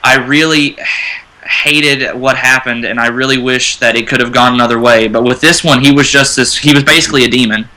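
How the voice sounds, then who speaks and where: A man with a strikingly bright, edgy voice at a middling pitch. He chats casually as a co-host. His delivery is emphatic and self-deprecating. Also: fairly even intonation, fairly quick, low-quality recording. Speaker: show co-host. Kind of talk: casual chat.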